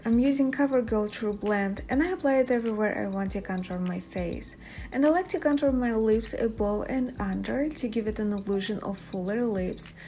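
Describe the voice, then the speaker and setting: Higher-voiced young Russian-accented woman. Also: dark tone. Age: young adult. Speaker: beauty vlogger. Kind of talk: makeup tutorial narration to viewers. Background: background music.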